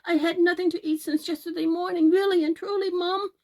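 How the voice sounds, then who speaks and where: A woman's voice: very high-pitched, fairly even intonation, a little fast, a little mellow, voice pushed up. A woman voicing a polite, earnest young boy in a children's storybook, wheedling and eager. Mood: pleading.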